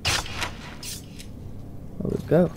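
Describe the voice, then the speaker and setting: A man narrating his video game playthrough in role, low-key and unhurried, part commentary and part in-character lines. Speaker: let's play gamer. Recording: game music behind the voice.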